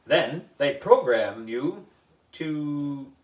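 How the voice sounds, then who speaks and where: A man acts out a cocky storybook schoolboy with a dramatic, teasing delivery. The pitch swings around with big emphasis, and the sound is roomy and a bit distant. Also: expressive, very slow, darkish tone. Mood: know-it-all. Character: schoolboy spinning wild theories, cocky and dramatic. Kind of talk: storybook character acting. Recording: roomy echo, distant microphone.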